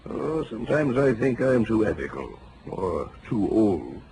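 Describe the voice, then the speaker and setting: An actor playing an excitable older psychoanalyst, fussy and lecturing, in 1940s radio drama style. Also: male voice, on the low side, a little slow. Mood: lecturing, probing. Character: excitable, analytical older male psychoanalyst doctor. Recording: noisy old radio recording.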